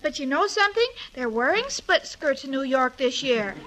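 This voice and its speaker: Super high bright ditzy young woman, sweet and clueless, old 1940s radio, bit of hiss. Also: lively intonation, normal speed. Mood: cheerful, oblivious. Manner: naive, earnest, literal-minded. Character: sweet, dim-witted, scatterbrained chirpy young woman. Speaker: comic lead of radio sitcom. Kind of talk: 1940s radio comedy acting. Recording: faintly noisy 1940s radio recording.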